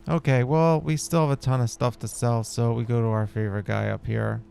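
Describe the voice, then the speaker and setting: A man's voice: mid-range voice, steady tone, a little fast, gravelly, mellow. A man hosting a gameplay video, giving casual, rambling, laid-back commentary in character.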